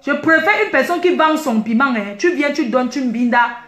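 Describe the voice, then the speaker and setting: A high-pitched woman speaks, her delivery indignant and emphatic as she keeps circling back to her points. She addresses her viewers directly. The voice rings in a reverberant room. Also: expressive, moderate pace, a bit bright. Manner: indignant, emphatic, repeats herself. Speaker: online video commentator. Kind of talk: heated personal rant to viewers. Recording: echoey room.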